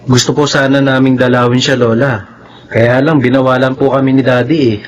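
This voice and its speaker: A man's voice: mid-range voice, level tone, a little fast, dull audio, natural voice. A man playing an earnest young son in a Filipino radio drama, respectful but reproachful. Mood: respectful, puzzled.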